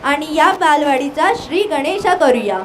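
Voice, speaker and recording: A woman's voice: high voice, expressive, normal speed. A woman reading a scripted announcement as the presenter of a live stage event. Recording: live hall sound with crowd noise.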